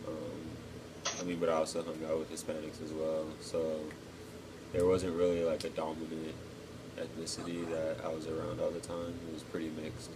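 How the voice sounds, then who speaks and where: Deep flat-voiced young man. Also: noisy background. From Texas. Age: 20s. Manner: thoughtful, pauses with ums. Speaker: interviewee, cadet. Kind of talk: casual interview answers.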